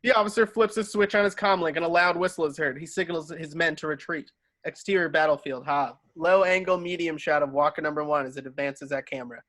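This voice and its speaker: A man with a slightly high voice narrates a screenplay. He reads scene headings and action lines in a scripted table-read style. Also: fairly quick, a bit bright. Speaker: narrator reading stage directions.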